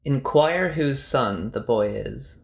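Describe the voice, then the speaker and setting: A man playing a grave older king in a dramatized scripture reading, measured and authoritative. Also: medium pitch, lively intonation, vocal fry. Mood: grave, questioning.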